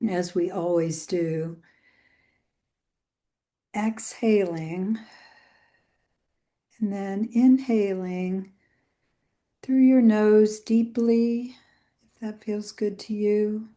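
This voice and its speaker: Slow, mellow woman leading meditation. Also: medium pitch, steady tone. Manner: gentle, soothing, unhurried with long pauses. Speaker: meditation and yoga teacher leading a guided meditation. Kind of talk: guided meditation.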